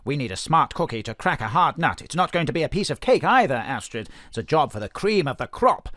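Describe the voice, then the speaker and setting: A man's voice: a little high, big pitch swings, a little fast, a bit bright, dry recording, clean studio sound. A man doing a hearty idiom-spouting spymaster boss. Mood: urgent. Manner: rattles off stock phrases, hearty and urgent. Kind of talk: remote audio drama acting.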